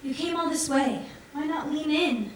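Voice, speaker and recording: A woman's voice: sits high, moderate pace, distant mic, lightly acted. A woman on stage playing an eager, persuasive daughter in a sci-fi colony, speaking in a coaxing, assured way. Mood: smooth persuasion. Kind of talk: staged musical reading, stage acting. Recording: echoey theatre from afar, faint noise.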